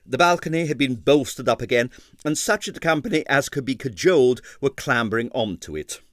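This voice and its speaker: A man's voice: medium pitch, lively intonation, a little fast, fairly bright tone, no echo, studio quality. A man narrating a comic novel as a scripted, dramatic audiobook reading.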